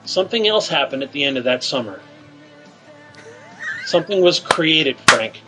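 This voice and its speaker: A male radio show host leading deadpan teasing banter in a halting, filler-filled setup. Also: a little high, faint music behind.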